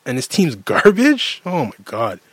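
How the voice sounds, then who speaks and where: A man's voice: mid-range voice, wildly swooping pitch, unhurried, slightly rough, dry recording. A Canadian man in his late thirties giving a casual, emphatic sports rant, often repeating phrases for effect in an exasperated way. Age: late 30s.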